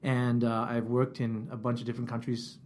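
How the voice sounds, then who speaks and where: A middle-aged man from England who speaks with a Canadian accent, telling his story as an interview guest. His voice is mellow and dark at a middle pitch, and he thinks aloud with fillers and restarts. A faint hiss of room noise sits behind him. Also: level tone, fairly quick. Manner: reflective, many fillers and restarts. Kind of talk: casual interview. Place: office.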